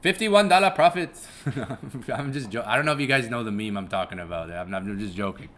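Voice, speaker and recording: A man's voice: mid-range voice, lively intonation, a little fast, a bit bright. A young man hosting a casual live stream, rambling, chuckling and swearing casually as he repeats himself. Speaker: live-stream trading host.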